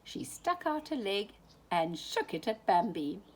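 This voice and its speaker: A woman reading a children's story aloud in an animated, dramatic way, often dropping into hushed tones. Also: mid-range voice, unhurried, breathy edge. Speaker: storybook reader.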